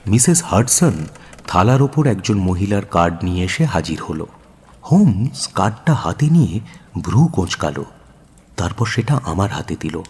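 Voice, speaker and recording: An actor playing a detective's earnest companion, narrating a radio drama in a measured, expressive, descriptive way. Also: male voice, deep voice, a little hiss or hum. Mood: measured storytelling. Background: music.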